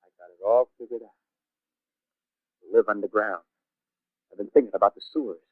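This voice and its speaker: An actor playing a fanatical, scheming soldier in a 1930s radio drama, talking roughly, urgently and at rambling length. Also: male voice, on the low side, steady tone, brisk, no room sound, close to an everyday voice. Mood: feverish, scheming. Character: fanatical, scheming male soldier, survivor dreaming of power. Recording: muffled, band-limited 1930s radio recording.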